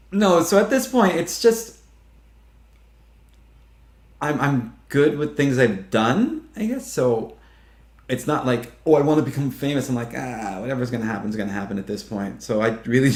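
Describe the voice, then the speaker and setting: A man's voice: medium pitch, animated, a little slow, long pauses, a little mellow. A man in his fifties, a filmmaker guest telling stories in casual interview chat, laughing often and acting out what others said. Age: 50s. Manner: chatty, laughing storyteller who acts out quotes. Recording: roomy echo.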